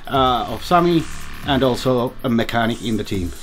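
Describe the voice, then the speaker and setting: A man's voice: mid-range voice, animated, normal speed, over music. Finnish-accented man presenting in an echoey room. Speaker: race engineer and presenter. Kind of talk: scripted team introduction to camera. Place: indoor team garage.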